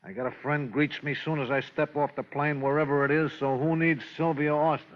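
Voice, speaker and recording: A man's voice: on the high side, flat delivery, fairly quick, lightly acted. A man playing a slippery, wisecracking gambling racketeer in an old radio crime drama, offhand and evasive. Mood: irritated, flippant. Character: slippery, flippant gambling racketeer with a shop front. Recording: dull, noisy vintage radio recording.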